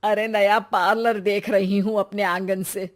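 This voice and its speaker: A woman's voice: mid-range voice, flat delivery, unhurried, lightly acted. Woman playing a shrewd, commanding older mother. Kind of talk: Hindi cartoon story dialogue.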